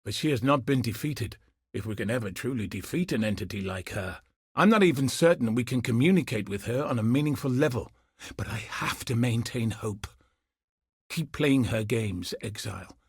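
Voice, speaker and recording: A grim, battle-seasoned military commander from a video game. His voice is husky and sits at an ordinary male pitch, recorded very dry. He speaks with solemn, earnest resolve, like someone bound by duty. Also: expressive, moderate pace, dry recording. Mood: guarded hope. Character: grim, dutiful male soldier commander hunting cosmic threats. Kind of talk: scripted video game character dialogue.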